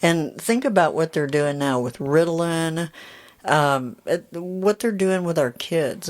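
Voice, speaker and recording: A middle-aged woman with a deep voice, co-hosting a podcast in candid, joking casual chat and laughing easily. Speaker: podcast co-host.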